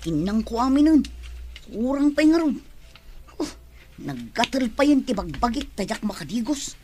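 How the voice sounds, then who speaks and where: A woman's voice: fairly high pitch, very animated, normal speed, mellow, a little hiss or hum. A woman acting a frightened, exhausted migrant worker fleeing a war in an Ilocano radio drama, anxious and plaintive. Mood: weary grumbling.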